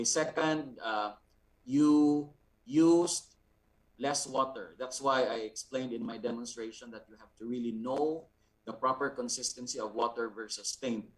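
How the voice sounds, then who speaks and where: A man with a Filipino accent explaining a lesson as an art instructor, deliberately, repeating words and checking with short tags. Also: mid-range voice. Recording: distant, off-mic sound.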